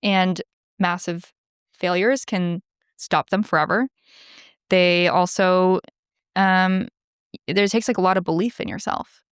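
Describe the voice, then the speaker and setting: A woman's voice: fairly low pitch, fairly even intonation, slow, long pauses, smooth, no echo, studio quality. A woman from Canada with a Canadian accent, hosting a podcast in a chatty, upbeat way. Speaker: podcast host. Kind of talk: interviewing and reading a sponsor spot.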